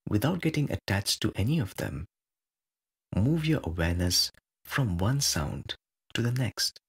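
A man with a low, creaky and husky voice leads a guided meditation. He is calm and measured, giving soothing instructions in short phrases with long pauses between them.

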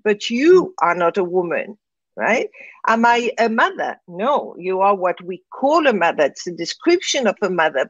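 A woman's voice: on the high side, husky, no room sound. French-accented woman, dull dry sound. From France, raised in Morocco. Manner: reflective, often adds "right?". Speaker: guest, transformation coach. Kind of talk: interview.

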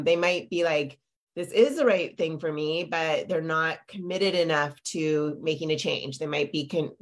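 A woman in her forties, a business coach, talking live over a video call from her home office, a little congested with a lingering cough, chatty and full of fillers and tag questions. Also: deep voice. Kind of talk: live-streamed coaching talk. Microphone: video call webcam.

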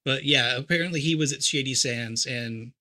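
A man with a bright, forceful tone, talking casually on a live stream. He explains lore at length, with a steady, knowledgeable manner. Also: mid-range voice, moderate pace. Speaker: co-host guest.

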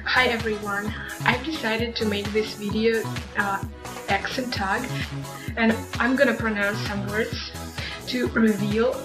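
A woman's voice: fairly high pitch, steady tone, a little slow. A young woman with a strong Russian accent, casually addressing viewers. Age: young adult. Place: bare room indoors. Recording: echoey room, music behind.

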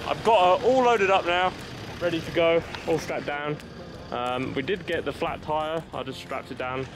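A man's voice: a little high, normal speed, over loud music. A young man giving chatty running commentary as he handles his sailboat and trailer, filmed outdoors on an action camera. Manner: chatty, running commentary while working on a boat. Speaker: sailing vlogger. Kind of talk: casual vlog narration. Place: outdoors on a sailboat and boat ramp. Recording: outdoor camera audio with wind and water noise. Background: boat, water and wind noise.

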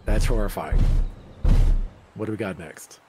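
A man's voice: on the low side, measured pace, background noise. A man co-hosting a podcast in casual debate, giving his opinions emphatically. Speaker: podcast co-host.

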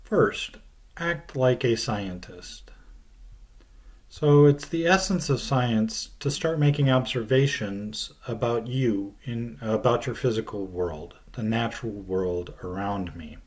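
A middle-aged man gives a science lesson from his desk, speaking at a slow, unhurried pace. His voice sits in an ordinary middle register. He lays out each idea carefully and lets his phrases fall away at the end, recorded on a webcam in a small plain room. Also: medium pitch, a little mellow. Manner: slow, deliberate explaining with falling phrase ends. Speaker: teacher. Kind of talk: recorded video lecture.

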